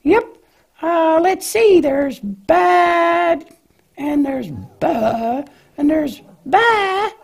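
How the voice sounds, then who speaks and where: Very high-pitched lamb puppet. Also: female voice, lively intonation, extremely slow, gravelly, exaggerated voice. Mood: chatty, naive. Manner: hesitant, questioning, childlike. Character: cheerful, naive young female lamb puppet. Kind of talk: children's church puppet show.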